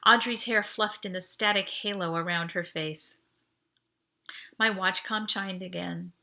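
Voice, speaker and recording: A woman reading a first-person story aloud as an expressive audiobook narrator. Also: medium pitch, a little slow, creaky. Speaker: audiobook reader.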